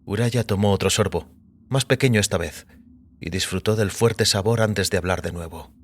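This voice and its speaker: A man narrating scripted audio fiction in a measured, literary delivery. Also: deep voice.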